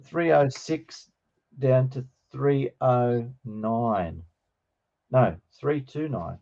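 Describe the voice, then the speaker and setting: A middle-aged man with a slightly croaky voice, teaching an informal online lesson in a hesitant, explanatory way. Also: mid-range voice, drawn-out words, mellow. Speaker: teacher of New Testament Greek. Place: online video call with screen share. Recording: dull, lo-fi online call.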